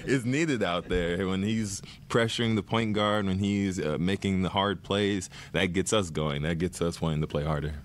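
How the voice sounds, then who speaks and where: A young man in his twenties calmly answering reporters after a game at a desk mic. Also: low-pitched, moderate pace, few pauses. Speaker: basketball player at press conference. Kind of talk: post-game press answers. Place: arena press room.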